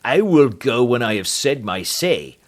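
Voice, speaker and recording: A man's voice: medium pitch, lively intonation, slow pace. A man putting on a furious blustering old man. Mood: threatening. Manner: loud, blustering, snarling. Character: fierce, furious old man, threatening bully.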